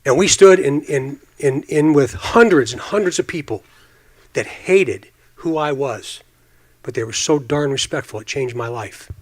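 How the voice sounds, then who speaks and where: A man's voice: mid-range voice, unhurried. Earnest, emphatic man in his fifties. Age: 50s. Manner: earnest, emphatic, repeats phrases for stress. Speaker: state police official on a forum panel. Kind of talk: impassioned forum speech.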